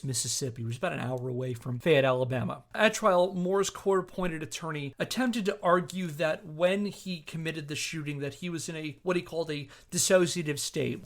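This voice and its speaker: A man hosting a history podcast, explaining background in an informal, measured storytelling style with conversational asides. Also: a little high, a bit bright.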